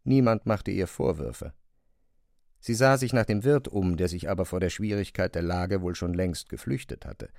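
A man's voice: low-pitched, moderate pace, vocal fry, a little mellow, no echo, clean studio sound. A man narrating a literary story from a script as the narrator of a radio drama.

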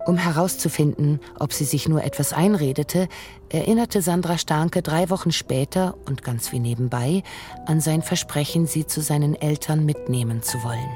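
A narrator in a radio drama, reading literary prose in a formal, measured, analytical way. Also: medium pitch, warm tone, natural voice. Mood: detached, analytical. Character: detached, analytical narrator of a relationship's decline. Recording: faint music behind.

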